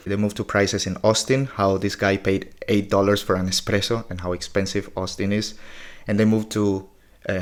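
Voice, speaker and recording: A man in his forties recapping a podcast episode in a casual, blunt, opinionated way, swearing often. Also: lowish voice. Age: 40s.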